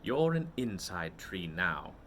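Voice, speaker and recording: Storybook homebody, played by a male reader: pondering, a little fretful, unhurried musing delivery. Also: sits low, sing-song, fairly bright tone, slight background noise, voice pushed down, heavily acted. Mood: gently decided. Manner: slow, thoughtful musing. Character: pondering, cosy-living, fretful man in a storybook.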